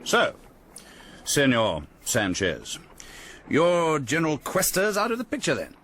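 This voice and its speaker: An actor playing a brisk, dry-witted aristocratic army general in a radio drama, clipped and commanding. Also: male voice, fairly high pitch, huge pitch swings, loud music behind the voice.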